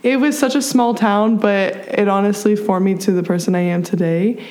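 A woman's voice: medium pitch, fairly even intonation, normal speed, a little mellow. A woman in her early twenties from Pennsylvania, a college student speaking as a podcast guest in a bubbly way, with many fillers and easy laughs. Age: early 20s. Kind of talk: casual interview chat.

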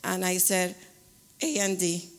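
Halting woman in a reverberant hall. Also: on the low side. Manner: halting, searching for words. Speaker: congregation member giving testimony. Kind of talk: personal testimony.

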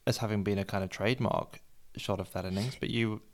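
Casting: male podcast co-host, fairly low pitch, wordy and conversational delivery. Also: fairly even intonation, a little fast.

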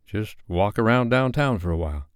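A man voicing a calm, patient young man who speaks in a soft, coaxing way. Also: on the low side, moderate pace, clean tone, no echo, clean studio sound, voice pushed up, lightly acted.

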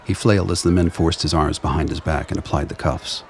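Casting: male audio drama narrator, bottomless bass with fry, measured ominous delivery, light ambient bed. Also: fairly even intonation, darkish tone, slight background noise. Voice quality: deep, gravelly. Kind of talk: scripted dramatic narration for a radio play.

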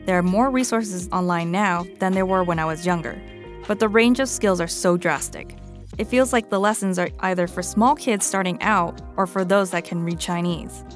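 A woman hosts a podcast in a slightly low voice. She moves between scripted narration and interview talk. Soft music sits behind her. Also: moderate pace. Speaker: podcast host.

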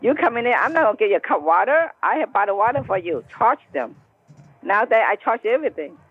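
A blunt woman with a Chinese accent talks in broken English over a tinny phone line. Her voice is husky and dry, and her pitch jumps around a lot as she talks. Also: big pitch swings, fairly quick, no echo. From China. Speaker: store owner on a phone call.

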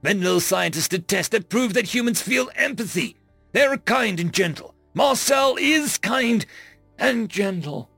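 A man's voice: high-pitched, moderate pace, vocal fry, hardly any pauses, fairly bright tone, breathy edge, no room sound, soft background music, voice pushed up, heavily acted. A man voicing a small, furry male alien, fiercely loyal and distraught, in pleading, indignant outbursts.

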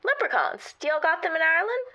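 A woman's voice: very high-pitched, very animated, quick pace, pushed and bright, muffled recording, exaggerated voice. An actress playing a chatty, nosy and friendly receptionist who calls callers honey and girl, in a comedy sketch.

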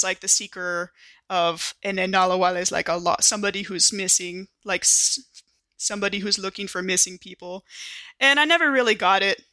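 Someone playing a bright chatty ship medic, teasing and rambly, dry close sound, scifi rpg. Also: medium pitch, no room sound. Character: Ray, young joking Marine ship medic, friendly and chatty. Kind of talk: improvised in-character tabletop roleplay.

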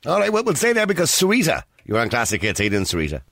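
A man's voice: mid-range voice, wildly swooping pitch, very fast, vocal fry, a bit bright, no echo. An Irish man hosting a talk radio phone-in, chatty and emphatic as he talks with callers in the studio. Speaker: radio talk show host.

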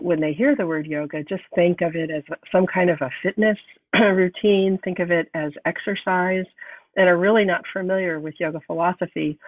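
A woman hosting a podcast, calm and unhurried as she guides a meditation, sounding nasal from a cold. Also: lowish voice, dry recording. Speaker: podcast host. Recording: thin phone-like sound, distant from mic.

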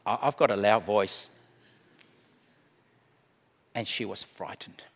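A middle-aged man preaching a sermon, emphatic, swinging between hushed and loud delivery. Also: lowish voice, slow pace. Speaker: pastor. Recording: long hall reverb.

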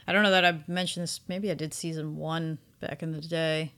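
A woman's voice: deep voice, steady tone, a little fast, a bit bright. A woman co-hosting a casual podcast discussion, effusive and emphatic, repeating words for stress. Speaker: podcast co-host reviewing anime.

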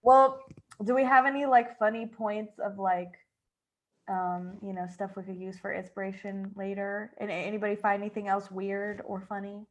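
A woman's voice: mid-range voice, flat delivery, moderate pace, a little hiss or hum. A woman with an informal, slangy manner hosting a casual group discussion. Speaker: podcast host leading a group discussion.

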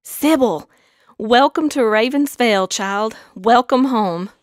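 A man voicing a gentle, firm, protective middle-aged Southern aunt in a told story, with a breathy, hushed delivery. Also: very high voice, slow pace, voice pushed up. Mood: welcoming. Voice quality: breathy, hushed, often near a whisper.

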